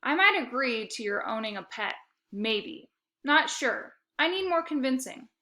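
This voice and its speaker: A storybook dad, as a woman reads him, musing and hard to convince. He speaks deliberately and noncommittally in a bright, clearly projected voice. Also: high voice, animated, pitched above the performer's own voice, exaggerated voice.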